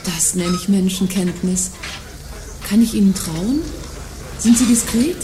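An actress playing a prim, insecure and gullible shop customer in an audio drama, with a formal, earnest manner. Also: female voice, medium pitch, measured pace, background noise, lightly acted. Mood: confiding. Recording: echoey room with music behind.

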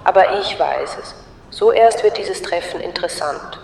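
An actress voicing a meddling, uncanny station loudspeaker in a dream, formal yet knowing and teasing, like a mock public announcement. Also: female voice, lowish voice, expressive, normal speed, gravelly. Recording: echoey, distant public-address sound.

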